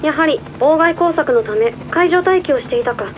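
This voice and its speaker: A woman's voice: high voice, lively intonation, moderate pace. A woman as a cool, terse contact, muffled sound. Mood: businesslike. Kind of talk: radio drama acting. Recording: dull lo-fi transmission, faint noise.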